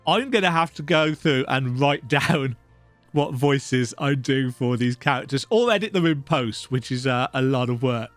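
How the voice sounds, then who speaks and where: Lively, animated man commentating on a game. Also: medium pitch, moderate pace, dry recording. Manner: chatty, self-deprecating. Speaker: let's play host. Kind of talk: casual gameplay commentary. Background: video game music.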